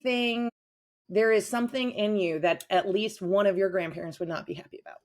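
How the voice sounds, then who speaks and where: A woman's voice: mid-range voice, fairly quick, slight background noise. A talkative, enthusiastic woman chatting casually as a guest on a podcast. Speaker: podcast guest, avid reader.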